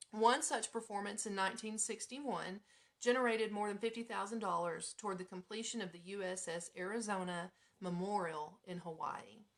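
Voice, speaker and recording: A woman's voice: mid-range voice, a bit bright. A woman with an American accent and a mid-range voice hosts a casual live radio show. Her delivery is informal and chatty, slipping easily between reading items out and speaking straight to her listeners. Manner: informal, chatty, breaks into giggles and asides.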